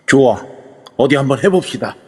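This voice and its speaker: A man's voice: mid-range voice, animated, normal speed, breathy edge. A man playing an urgent ally who wields talismans and pleads for help. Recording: long echoey reverb.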